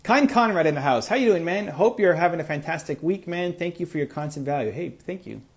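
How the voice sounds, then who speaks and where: A man's voice: on the high side, fairly quick. Upbeat man in his forties coaching viewers. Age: 40s. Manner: energetic, encouraging, conversational with fillers. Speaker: motivational livestream host. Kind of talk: live Q&A answering viewer comments.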